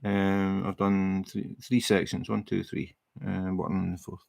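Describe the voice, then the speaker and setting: A middle-aged Scottish man chatting casually on a livestream while he crafts, relaxed and rambling, with many fillers and restarts. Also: sits low, measured pace, creaky, no echo. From Scotland. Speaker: livestream host. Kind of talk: casual chat while crafting, reading viewer comments.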